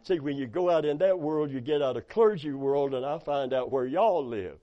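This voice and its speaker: A hoarse preacher, his voice pitched a little high for a man. He tells folksy stories from the pulpit and breaks in with amen and hallelujah. The recording sounds dull and lo-fi. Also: normal speed. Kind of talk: sermon.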